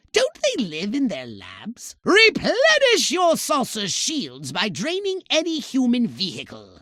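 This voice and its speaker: A very high, bright-voiced alien commander, scheming and imperious. His pitch swings wildly as he hands out pompous, sarcastic orders in theatrical video game style, recorded very dry. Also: no echo, full character voice. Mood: scheming, instructing. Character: shrill, bossy, scheming alien commander giving mission orders.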